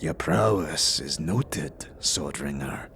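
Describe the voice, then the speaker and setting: A man voicing a proud, solemn, terse fantasy warrior with formal, measured, archaic phrasing in an audio drama. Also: extremely low pitch, expressive, vocal fry, fairly bright tone, a little hiss or hum, pitched below the performer's own voice, heavily acted. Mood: proud, respectful.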